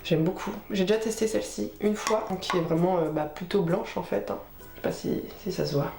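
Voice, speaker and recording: A woman's voice: lowish voice, brisk, creaky, darkish tone. A woman with a head cold chatting informally through a casual makeup tutorial as a beauty vlogger, talking while she works. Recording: roomy echo with music behind.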